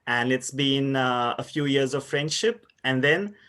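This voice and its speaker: A man in his thirties from India, giving a conference presentation in a measured, explanatory way with frequent pauses and fillers. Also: mid-range voice, lively intonation, bright, forceful tone, clean tone. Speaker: university lecturer presenting research.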